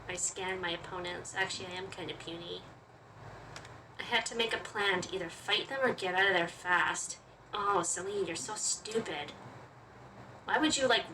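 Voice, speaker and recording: A woman with a bright, forward voice reads visual novel narration aloud as a gaming host. She moves between storytelling and playful, casual commentary. The mic sits slightly away from her, with faint noise behind. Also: lowish voice, far from the mic, rough recording.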